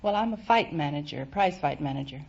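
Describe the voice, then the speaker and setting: A woman's voice: low-pitched, expressive, quick pace, creaky, darkish tone, muffled recording, slight background noise. A woman answering questions as a quiz show contestant on an old radio recording.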